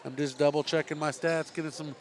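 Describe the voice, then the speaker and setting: A middle-aged man doing play-by-play and then a joking postgame interview in a high school gym. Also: level tone, a little fast. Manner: chatty, joking, frequent restarts. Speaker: play-by-play broadcaster. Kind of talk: sports commentary and postgame interview.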